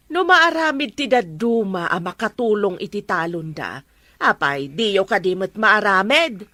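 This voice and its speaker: A woman acts out a nagging farm mother in a homemade Ilocano-accented family drama. She is firm and insistent, with a bright, forceful voice at medium pitch, recorded dry. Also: big pitch swings, measured pace, dry recording. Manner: insistent, scolding. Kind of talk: amateur family drama acting.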